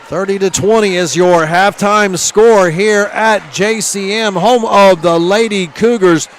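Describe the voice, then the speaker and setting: High-voiced local basketball announcer. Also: male voice, measured pace, slight background noise. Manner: energetic, filler-heavy. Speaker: play-by-play announcer. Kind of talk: live basketball commentary. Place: high school gym.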